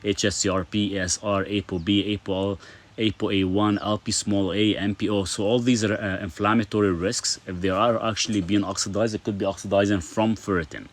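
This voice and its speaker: A man's voice: on the low side, little pitch movement, brisk. A middle-aged man from Lebanon hosting a casual live stream, reading viewer comments aloud and answering them in a chatty way, full of fillers.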